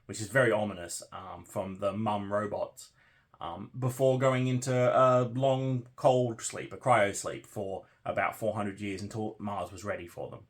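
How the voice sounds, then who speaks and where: A man's voice: lowish voice, flat delivery, measured pace. A man hosting a book read-along vlog, talking conversationally to viewers as he recaps and muses. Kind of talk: casual book discussion to viewers.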